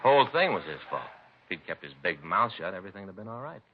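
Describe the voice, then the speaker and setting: A man's voice: fairly low pitch, very animated, quick pace. An actor playing a put-upon American family-man detective, complaining in a folksy, rambling way, in 1950s radio drama style. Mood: aggrieved. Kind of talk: 1950s radio acting, conversational dialogue. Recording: dull vintage radio recording, faint noise.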